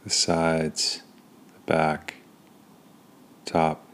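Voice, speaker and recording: A man leading a guided meditation in a calm, soothing manner, pausing between short phrases. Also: very deep voice. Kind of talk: guided body scan meditation.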